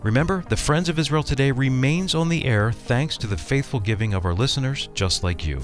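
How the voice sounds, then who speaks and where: A man's voice: mid-range voice, fairly quick. A man co-hosting a ministry radio program, speaking in an announcer's style. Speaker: radio co-host, announcer and executive producer. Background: music.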